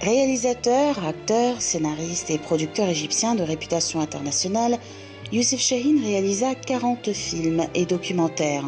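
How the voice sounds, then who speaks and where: A woman reporter reading a scripted documentary segment in a measured, formal way. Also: medium pitch, animated, music in the background.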